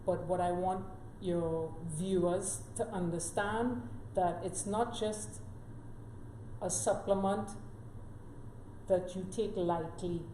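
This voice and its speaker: A woman's voice: fairly low pitch, steady tone, slow pace, mellow. A woman from Trinidad, a guest expert on a talk show, explaining earnestly and step by step, often checking in with "right?". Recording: echoey room with faint noise.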